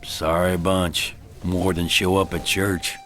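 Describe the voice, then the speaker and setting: Weary, very deep-voiced Western sheriff. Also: male voice, flat delivery, slow pace, fairly bright tone, soft background music. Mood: bitter, musing. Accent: American. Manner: terse, sardonic, world-weary. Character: weary, plain-spoken small-town Western sheriff, grown man. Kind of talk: radio drama acting.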